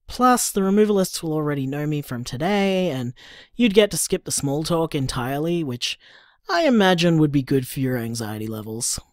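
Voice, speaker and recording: Actor as earnest young Australian boyfriend, close dry sound. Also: male voice, a little high, expressive, moderate pace, no room sound, close to an everyday voice. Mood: caring. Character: affectionate young boyfriend, earnest and gently rambling. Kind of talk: audio drama played as voicemail messages.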